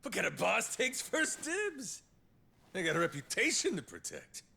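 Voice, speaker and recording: An actor playing a roguish, cocky yakuza pirate captain in a video game, with a gravelly voice and slangy, swaggering talk. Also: male voice, sits high, wildly swooping pitch, moderate pace, bright, forceful tone, exaggerated voice. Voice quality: gravelly, creaky. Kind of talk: dramatic video game cutscene acting.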